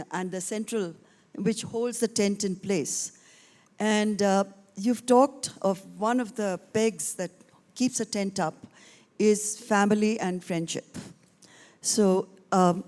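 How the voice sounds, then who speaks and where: A woman moderating an on-stage interview, conversational and teasing, with filler pauses. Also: mid-range voice, a little mellow. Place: festival stage before an audience.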